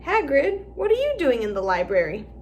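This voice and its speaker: An eager young schoolboy wizard, read by a woman, boastful and lively, always keen to show off what he knows. The voice is fairly high, with faint noise underneath. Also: moderate pace. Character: eager schoolboy wizard, know-it-all about dragons.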